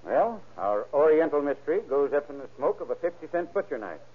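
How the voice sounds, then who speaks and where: An actor playing a probing, deliberate county sheriff in an old-time radio crime drama. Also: male voice, a little high, sing-song. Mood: thoughtful, businesslike. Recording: narrow, tinny vintage radio recording.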